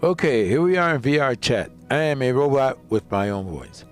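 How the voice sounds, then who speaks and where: A man's voice: medium pitch, expressive, moderate pace, faint music behind. A man giving a casual step-by-step tutorial as a video creator, rambling and often repeating himself.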